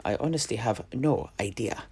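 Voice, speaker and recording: A man's voice: on the low side, lively intonation, creaky, warm tone. A man playing a proper, bewildered knight in a fantasy audio drama, formal and declamatory in a politely incredulous way. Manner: declamatory, formal, halting when embarrassed. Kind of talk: in-character audio drama dialogue.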